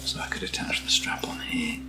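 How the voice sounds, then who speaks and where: A soft-spoken British man chatting through an ASMR unboxing in a breathy murmur that often drops near a whisper, with gently playful asides. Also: moderate pace, loud music behind the voice. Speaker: ASMR product reviewer.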